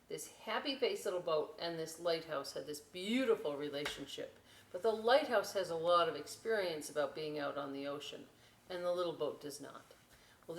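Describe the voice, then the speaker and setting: A woman reading a picture book aloud as a storytime host, enthusiastic and expressive, with dramatic emphasis and chatty asides. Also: low-pitched. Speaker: library storytime reader. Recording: roomy echo, clear background noise.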